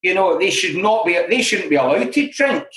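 Middle-aged glasgow guy, scottish accent, talking straight and frank, bit higher voice, lots of you know, roomy echo. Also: animated, fairly quick, fairly bright tone. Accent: Glaswegian Scottish. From Scotland. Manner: frank and self-deprecating, full of "you know" asides. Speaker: interview guest in alcohol recovery. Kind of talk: candid conversational storytelling. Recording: roomy echoey room.

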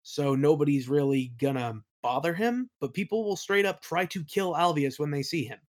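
A man's voice: fairly high pitch, moderate pace. Man thinking aloud in a casual interview. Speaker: interview guest, audio play creator.

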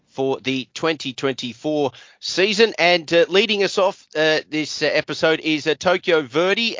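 A man's voice: fairly high pitch, fairly bright tone, no echo, dull audio. A man hosting a podcast, chatting casually with frequent "uh" fillers. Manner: hesitant, many "uh" fillers. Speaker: podcast host.

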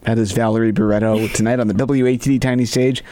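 A man's voice: medium pitch, level tone, fast talker, dark tone. A man hosting a radio interview in a studio, giving brief, upbeat station announcements and questions. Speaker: radio host.

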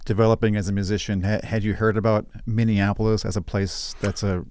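Man interviewer, lowish smooth voice, dry close mic, gentle questions. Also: flat delivery, fairly quick, no echo. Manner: brief affirmations, gentle questions.

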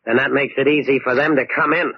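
An actor playing a shrewd, bluffing American sleuth in 1940s radio crime drama, measured and quietly needling. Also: male voice, mid-range voice, fairly even intonation, husky. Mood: calmly triumphant. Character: shrewd male amateur sleuth, cool and bluffing. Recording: dull, lightly noisy old radio recording.

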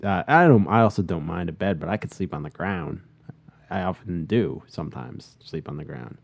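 A man's voice: low-pitched, animated, moderate pace, gravelly, no echo, dull audio. A man in his thirties with a nasal voice, stuffed up with a cold, bantering casually as a podcast host. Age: 30s. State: congested with a cold.

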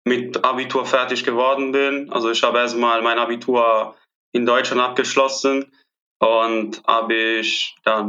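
A man's voice: medium pitch, bright, forceful tone, lo-fi sound. A man, answering interview questions hesitantly with many filler sounds. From Italy. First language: Italian. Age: 20s. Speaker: guest, airport trainee. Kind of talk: podcast interview.

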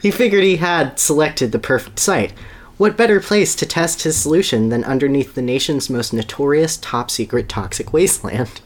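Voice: mid-range voice, moderate pace. A podcast host gives a dramatic reading of a story, with casual asides and an amused manner. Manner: amused, breaks into laughter while reading.

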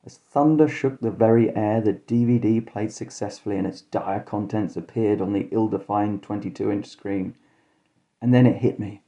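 A man hosting and narrating a scripted comic horror story in a deadpan, mock-dramatic way. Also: fairly low pitch, normal speed, dull audio. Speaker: podcast host and storyteller.

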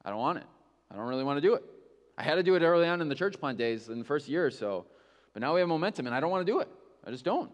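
A man's voice: fairly high pitch, very animated, racing, big-room sound, muffled recording. A male pastor preaching a sermon in a candid, conversational way, with self-mocking asides.

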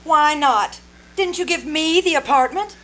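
A woman's voice: high voice, a little slow, bright, forceful tone. A woman playing a breezy, teasing wife in an old-time radio comedy, airy and mock-sweet. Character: breezy, teasing wife scoring points off her husband.

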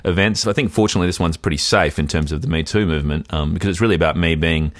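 A man with a very low voice and a quick, tumbling delivery tells a self-mocking story on a comedy podcast. He circles back and restarts his sentences often. The sound is close and dry, with no room echo. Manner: rambling, self-deprecating storyteller with many restarts. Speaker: podcast co-host. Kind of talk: casual comedic podcast chat.

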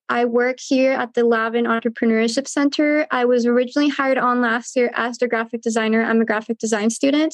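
A young woman from San Diego chats about herself, sprinkling in "like." Her voice sits a bit high and sounds close and dry. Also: dry recording. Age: 20s. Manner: chatty, uses "like". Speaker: design student guest.

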